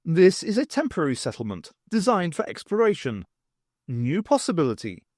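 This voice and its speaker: A man's voice: a little high, huge pitch swings, normal speed, a little mellow, no echo, studio quality, pitched above the performer's own voice. A man voicing tentacled alien data pirates who speak in clipped, formulaic statements for an audiobook. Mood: analytical.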